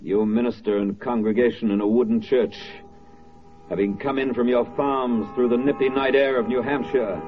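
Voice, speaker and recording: An actor playing a solemn, rousing wartime narrator, declaiming in an oratorical radio drama style. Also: male voice, medium pitch, fairly even intonation, moderate pace, soft background music, close to an everyday voice. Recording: muffled 1940s radio recording.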